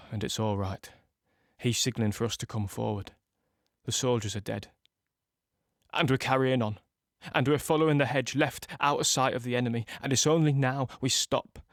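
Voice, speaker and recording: A man's voice: mid-range voice, no echo, natural voice. Man acting a shaken young British soldier, close-up. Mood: dazed, reflective. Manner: halting, repeating words as he relives events. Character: young British soldier, wounded in Normandy, shaken but dogged. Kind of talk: audio drama monologue, present-tense war recollection.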